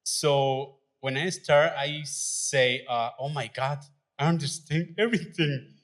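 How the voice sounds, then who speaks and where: A man speaks slowly in broken, English, stopping often to search for the word. He says "uh" a lot and lets out nervous laughs as he shares his story with a live audience at an event. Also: a little high, a bit bright. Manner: halting non-native English with many fillers and restarts, nervous, laughing. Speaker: adult learner sharing his story. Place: event venue.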